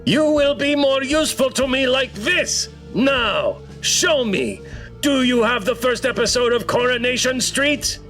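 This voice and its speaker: A man's voice: very high-pitched, expressive, measured pace, fairly bright tone, soft background music, voice pushed up, heavily acted. A man voicing a female sea-creature conqueror in a visual novel, imperious, menacing and grandiose. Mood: demanding. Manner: grandiose, commanding, theatrical. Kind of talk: acted villain in a visual novel.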